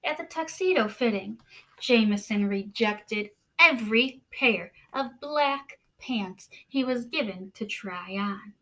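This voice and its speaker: A woman with a fairly high voice leads a picture-book read-aloud for children. She takes her time, speaking slowly and deliberately. Key words get expressive emphasis, as a teacher would give them. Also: poor audio.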